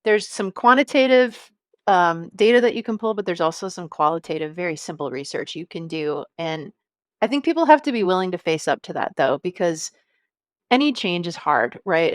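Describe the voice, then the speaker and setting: A woman's voice: on the low side, lively intonation, brisk, dry recording, studio quality. A woman in her fifties, a podcast guest talking about marketing in a friendly, conversational way, laughing easily and thinking aloud with fillers. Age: 50s. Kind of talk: conversational interview.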